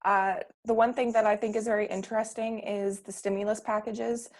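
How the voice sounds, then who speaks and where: A woman's voice: mid-range voice, little pitch movement, brisk, a little mellow. A woman from Canada speaking on a panel, earnest and opinionated, often restarting her sentences. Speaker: panelist, political campaigner. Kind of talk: casual discussion.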